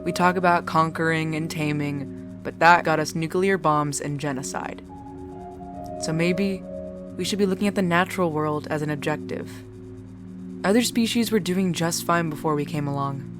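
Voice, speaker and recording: An actress voices a world-weary young woman behind the bar in a noir audio drama. Her voice sits low, and she talks bluntly with dry sarcasm and easy profanity. Music plays clearly underneath. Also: moderate pace, close to an everyday voice. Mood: wry, musing. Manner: wry, blunt, heavy casual swearing. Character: foul-mouthed, sardonic, world-weary young bartender. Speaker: bartender. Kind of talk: cinematic audio drama acting.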